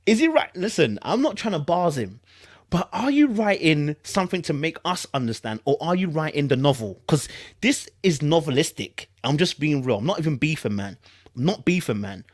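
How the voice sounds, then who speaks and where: A man's voice: a little high, very animated, moderate pace, no echo, studio quality. A man with a London accent hosting casual online commentary, reading aloud and reacting in informal, slangy speech with emphatic repetition. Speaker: YouTube commentary host.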